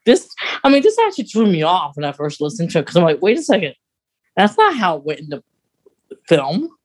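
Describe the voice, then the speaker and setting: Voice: medium pitch, huge pitch swings, brisk. A podcast host chatting casually as an enthusiastic fan, often breaking off and restarting sentences.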